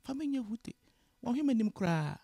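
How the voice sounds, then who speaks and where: A woman with a Ghanaian accent tells her story in an easy mix of English and Twi, and she voices each exchange with lively energy. Her voice is gravelly and full of fry. The sound is dry and close, with no room echo. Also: fairly high pitch, moderate pace. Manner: switches freely between English and Twi, animated in retelling dialogue. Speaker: guest. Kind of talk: casual storytelling interview. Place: Canada.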